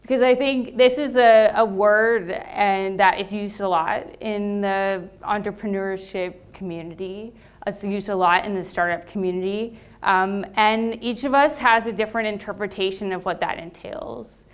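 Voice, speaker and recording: A woman's voice: medium pitch, fairly even intonation, moderate pace, smooth. A woman from Los Angeles with an American accent, speaking to a seated meetup audience, with frequent ums and restarts. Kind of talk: live talk to an audience.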